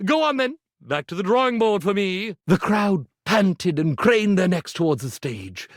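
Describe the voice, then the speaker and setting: A vain, theatrical magician of a living ironing board in a video game. His highish voice leaps flamboyantly up and down through melodramatic, flowery phrases and stumbles into flustered hesitation. The sound is very dry, with no room around it. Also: very animated, measured pace, dry recording. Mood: eager, chatty, then dramatic storytelling. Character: theatrical ironing board posing as grandiose stage illusionist. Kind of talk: video game character voice acting.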